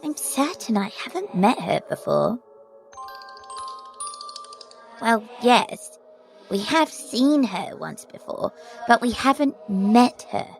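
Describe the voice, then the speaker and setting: A woman playing a haunted, wistful woman bound to a mysterious place, speaking in a measured way in a horror audio drama. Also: a little high, big pitch swings, vocal fry, exaggerated voice. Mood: puzzled. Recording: loud music over the voice.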